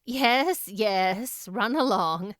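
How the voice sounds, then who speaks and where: A no-nonsense older farm woman, played by a female narrator, clear and brisk. She is matter-of-fact and dryly scolding, yet caring, in storybook style. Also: medium pitch, fairly bright tone, no echo, clean studio sound. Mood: indulgent. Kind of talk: audiobook character voice.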